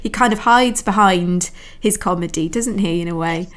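A woman's voice: fairly low pitch, moderate pace, fairly bright tone. A woman interviewing in a conversational academic style, phrasing her questions in a wordy, exploratory way.

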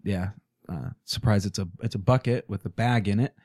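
A man's voice: on the low side, normal speed, darkish tone, no echo. A man speaking, a podcast guest in casual, crude, joking chat in a small studio.

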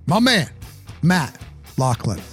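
High-pitched, effusive male sports talk host. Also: big pitch swings, slow, a bit bright, faint music behind. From New Jersey, USA. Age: middle-aged. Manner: effusive, emphatic, repeats phrases for emphasis. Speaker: interview show host. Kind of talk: enthusiastic sports talk and interviewing.

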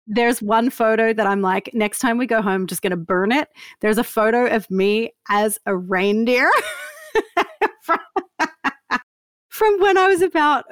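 A woman's voice: a little high, unhurried, no echo, clean studio sound. Laughing Australian woman in her fifties. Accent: Australian with a slight Canadian tinge. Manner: animated storyteller, laughs often, self-mocking. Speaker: guest. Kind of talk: casual interview chat.

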